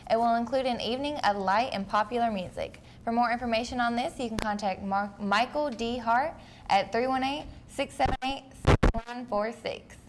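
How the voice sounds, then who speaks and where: A woman's voice: fairly high pitch, background noise. A young woman anchoring a college news show, reading announcements in a friendly, upbeat way. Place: college TV studio.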